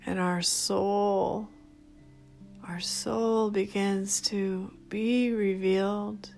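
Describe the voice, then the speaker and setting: A woman leading a guided meditation in a gentle, soothing, unhurried manner, speaking in short phrases. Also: mid-range voice, steady tone, vocal fry. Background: background music.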